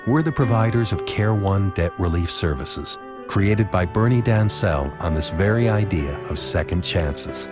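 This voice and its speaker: A male voice-over announcer with a very deep voice, delivering a scripted commercial. His read is earnest and reassuring, with clear music playing underneath.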